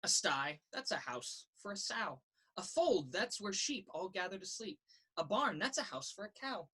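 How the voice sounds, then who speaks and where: A woman reads a children's picture book aloud. Her pitch moves in a lively, expressive way through a sing-song rhythm. The voice has a husky, slightly rough edge. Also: moderate pace. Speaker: story reader. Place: indoors in a children's library.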